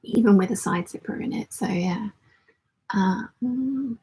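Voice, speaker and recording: A woman with a croaky voice, chatting casually and ramblingly as the host of a live hangout. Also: mid-range voice, unhurried, dark tone, rough recording. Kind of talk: casual livestream chat, reading out viewer comments.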